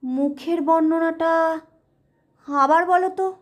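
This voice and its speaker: A woman's voice: very high-pitched, fairly even intonation, slow pace. A woman voicing a pensive, grave wife with a secret past in an audio ghost story. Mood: intent. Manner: grave, absent-minded.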